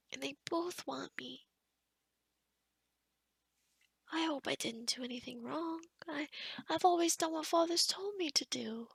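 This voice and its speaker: A woman playing a kind, sheltered young forest witch in a soft-spoken, half-whispered ASMR roleplay, gentle and hesitant with small stammers. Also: high-pitched, no room sound. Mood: nervous, hesitant. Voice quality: breathy, whispery.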